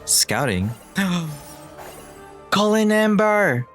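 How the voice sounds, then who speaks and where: A man streaming a game, playful and giggly, thinking out loud as he goes. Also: high-pitched, big pitch swings, very slow, faint music behind. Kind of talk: casual gameplay chat.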